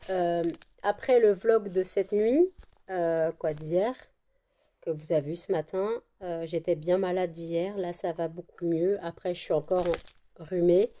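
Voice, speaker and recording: A woman's voice: lowish voice, fairly even intonation, measured pace, warm tone. A woman vlogging casually at home, talking to her phone camera with a slight cold, rambling with many fillers and restarts. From France. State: still has a cold. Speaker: vlogger, candle maker. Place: at home indoors.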